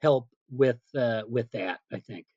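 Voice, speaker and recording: A middle-aged man, a PA announcer being interviewed, telling casual anecdotes in an animated way and quoting remembered dialogue. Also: mid-range voice, unhurried, slightly rough, no room sound, muffled recording. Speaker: stadium PA announcer, interview guest.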